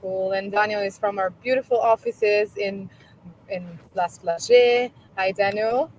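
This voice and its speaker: A woman hosts a company live stream over a video call. She talks at a middling pitch in a chatty, friendly way, with small laughs. A faint hiss of background noise sits behind her voice. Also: steady tone, a little slow, rough recording. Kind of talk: casual live-stream chat.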